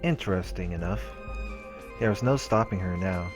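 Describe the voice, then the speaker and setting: A man with a low voice reads a visual novel's text aloud and comments casually as a let's play host. Game music is clearly audible behind him. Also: normal speed.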